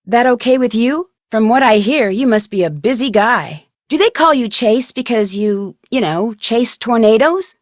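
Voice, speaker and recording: A woman's voice: fairly high pitch, expressive, moderate pace, few pauses, no room sound, clean studio sound, close to an everyday voice. A woman playing an inquisitive, friendly young amateur detective in a video game. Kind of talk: video game dialogue lines.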